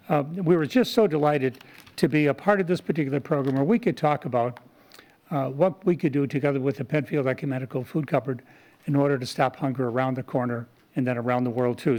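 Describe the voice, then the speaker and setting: A man's voice: a little high, a little mellow, poor audio. A man speaking as a community volunteer, giving an earnest, explanatory presentation at a public meeting. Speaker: community volunteer presenter, Rotary club member. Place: town board meeting room.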